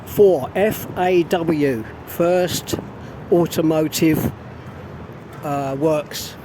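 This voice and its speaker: Man, hoarse, slow rambling street vlog, outdoors, traffic noise behind. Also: on the high side. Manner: rambling, unhurried, pauses and restarts. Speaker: vlogger. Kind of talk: casual walking vlog.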